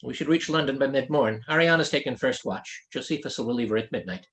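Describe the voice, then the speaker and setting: A man's voice: medium pitch, quick pace, fairly bright tone, lightly acted. A man voicing a loyal, stubborn Scottish weather mage aboard a ship, earnest and terse, in a fantasy audiobook. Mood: matter-of-fact. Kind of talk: fantasy audiobook character dialogue.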